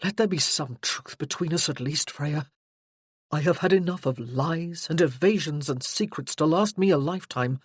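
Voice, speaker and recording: A reader voicing a charming, teasing young gentleman from a Regency romance, earnest and insistent in his pleading. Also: fairly high pitch, husky, no room sound, studio quality.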